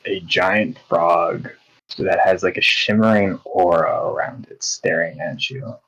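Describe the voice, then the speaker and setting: A man running a tabletop game as game master, describing scenes slowly. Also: deep voice, fairly even intonation, a bit bright, muffled recording.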